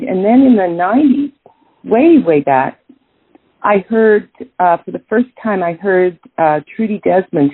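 Canadian jazz singer lady, middle-aged, husky, lots of ums and restarts, phone-ish audio. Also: mid-range voice, dry recording. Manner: thoughtful, many restarts and fillers. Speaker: jazz singer, interview guest. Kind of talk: casual interview answers. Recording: tinny phone-like line.

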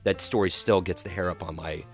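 Radio host, male, low voice, excited and rambling, faint music underneath. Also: brisk. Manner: enthusiastic, rambling with restarts. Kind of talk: casual radio chat.

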